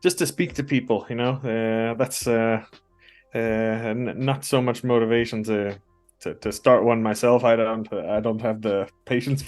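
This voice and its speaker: A young man who grew up between two countries, talking as a guest in a casual conversation, relaxed and halting with many restarts. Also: medium pitch. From Norway and the US.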